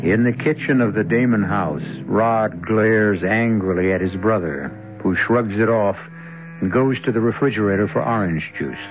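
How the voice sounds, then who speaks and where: Casting: man, ominous radio storyteller, low voice, dull vintage broadcast sound. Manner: ominous, deliberate. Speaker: radio drama host and narrator. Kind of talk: scripted dramatic narration. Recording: muffled old radio broadcast. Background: music.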